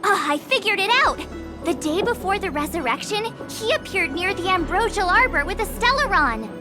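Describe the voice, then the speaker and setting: A woman's voice: very high voice, animated, normal speed. A woman voicing a small, childlike, cheeky mascot creature in a video game, exclaiming and teasing. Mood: excited. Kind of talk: video game character acting. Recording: loud music behind the voice.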